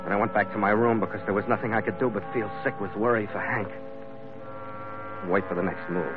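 Actor as a low-voiced, hard-boiled sea captain. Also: male voice, fairly even intonation, fast talker, narrow, tinny audio, close to an everyday voice. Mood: brooding narration. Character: tough, lovestruck male sea captain, narrating his own adventure. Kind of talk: 1940s radio drama acting. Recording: thin vintage radio recording with music.

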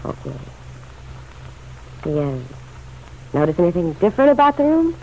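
A woman's voice: lowish voice, very animated, moderate pace, mellow. An actress playing an anxious, world-weary working-class woman in an old radio drama, with pleading, colloquial speech. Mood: hurt, hopeful. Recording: muffled, noisy old radio recording.

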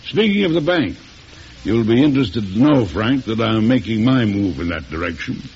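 An actor playing a proud, stubborn older American president in a folksy, emphatic old radio drama style. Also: male voice, on the low side, big pitch swings, normal speed, a little mellow, slightly rough, full character voice. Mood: firm. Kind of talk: 1940s radio drama acting, narrating and playing. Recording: hissy, dull old radio recording.

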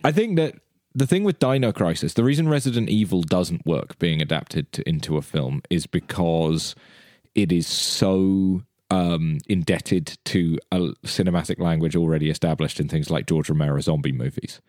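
A man with a low voice talks casually as a podcast panellist. He explains his points in a halting, deliberate way, and the recording is dry and close. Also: a little mellow, no echo.

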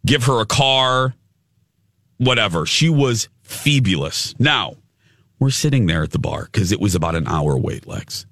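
A male radio host telling a story in casual chat, with a loud, big voice and a habit of cussing. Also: fairly low pitch, lively intonation, a little slow, no room sound, clean studio sound. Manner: swears casually.

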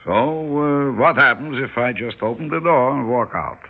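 An actor playing an unflappable older shopkeeper in a classic radio drama, needling philosophically with Yiddish-inflected phrasing. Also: male voice, medium pitch, expressive, moderate pace. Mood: calm, needling. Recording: muffled old radio broadcast.

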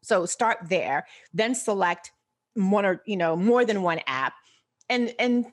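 An American woman speaking as a guest in a casual interview, with a voice of medium pitch. She is animated and lays out her thinking step by step, often posing questions and answering them herself. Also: slow. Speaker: interview guest, personal finance expert.

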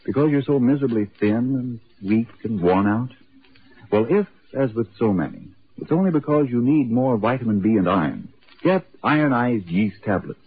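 An American man announcing for 1940s radio in a formal, deliberate, emphatic scripted style. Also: medium pitch, lively intonation, breathy edge. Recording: tinny 1940s radio broadcast.

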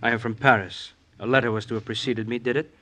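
An actor playing a cool, guarded assassin in an old radio drama, terse and businesslike. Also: male voice, medium pitch, steady tone, quick pace, pushed and bright. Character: cool, guarded male assassin travelling under false names. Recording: noisy old radio recording.

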